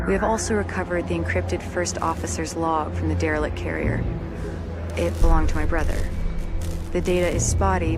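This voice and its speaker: A woman's voice: on the low side, lightly acted. Earnest actress as a woman sci-fi expedition scientist. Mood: somber. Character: focused, earnest woman scientist searching for her lost brother. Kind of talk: dramatic sci-fi game acting. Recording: loud music or vehicle noise behind.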